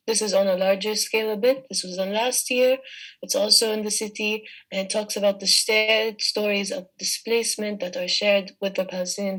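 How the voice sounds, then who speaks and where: A young woman in her twenties from Jordan giving a slide presentation over a video call, thoughtful and pausing often on fillers. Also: medium pitch, fairly bright tone, low-quality recording. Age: 20s. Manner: thoughtful, with frequent filler pauses.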